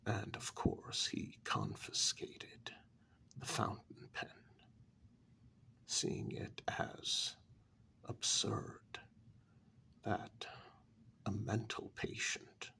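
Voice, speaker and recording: A man playing a formal, compassionate asylum staffer in a soft-spoken ASMR story, speaking in a breathy, creaky half-whisper with measured, deliberate pauses. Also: fairly low pitch, very animated, heavily acted. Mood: quietly indignant.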